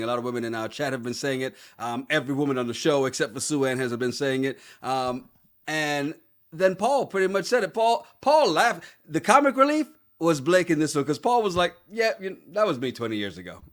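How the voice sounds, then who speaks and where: Lively, animated male podcast co-host. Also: fairly high pitch, fast. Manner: animated, laughs often, acts out quotes. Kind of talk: casual recap chat.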